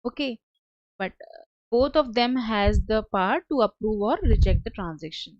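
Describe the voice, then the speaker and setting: A woman narrating a step-by-step software tutorial as a trainer, with a halting, hesitant delivery. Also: fairly high pitch, expressive, muffled recording, slight background noise.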